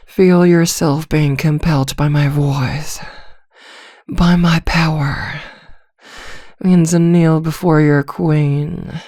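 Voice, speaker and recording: Slow, soft, seductive vampire villainess played by a woman. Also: sits low, no room sound, studio quality, exaggerated voice. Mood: commanding. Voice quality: soft, often dropping into whispers. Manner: teasing, commanding, hypnotic, drawn-out phrasing. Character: seductive, arrogant vampire villainess posing as therapist. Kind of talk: intimate ASMR roleplay.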